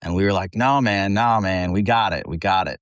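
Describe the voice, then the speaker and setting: A man's voice: deep voice, moderate pace, no echo, clean studio sound. An American man who sings in a metal band, chatting casually and bluntly as an interview guest, telling anecdotes in slangy, sweary language. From eastern Pennsylvania, United States. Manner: blunt, profane, informal, slangy. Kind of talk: casual chat, anecdotal storytelling.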